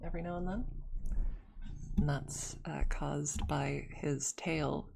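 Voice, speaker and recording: A woman chatting casually on an ASMR livestream, soft-spoken and halting, close to a streaming microphone. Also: low voice, fairly even intonation, vocal fry, slight background noise.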